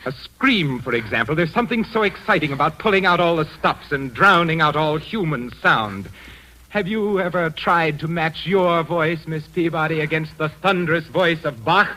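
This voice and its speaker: An actor playing a cultured, sadistic mad organist in an old-time radio suspense drama, speaking in a theatrical, menacing way. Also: male voice, on the high side, expressive, moderate pace, sharp tone, low-quality recording. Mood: silky menace. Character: suave, sadistic mad organist murderer, a grown man.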